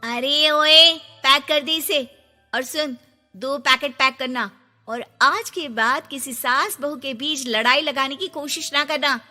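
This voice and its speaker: A woman's voice: sits high, slow pace, sharp tone, big-room sound, soft background music, heavily acted. A woman voicing a vain, bossy middle-aged mother-in-law in a cartoon story, boastful and dramatic as she orders others about. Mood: pushy, bossy.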